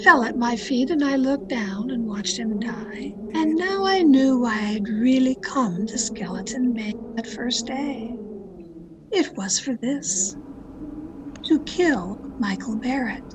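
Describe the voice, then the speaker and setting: An actress playing a jealous, murderous mystery novelist in a radio drama, with deliberate melodramatic delivery over a home call recording. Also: female voice, on the high side, animated, noisy background. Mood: grim. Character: jealous, murderous woman mystery novelist confessing her crimes. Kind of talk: dramatic first-person radio mystery narration. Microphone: video call.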